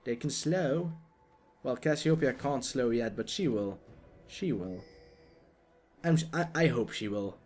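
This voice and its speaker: A young man with a Dutch accent giving excitable, jokey live commentary on a video game, talking to the players on screen. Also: medium pitch, a little slow, pauses often.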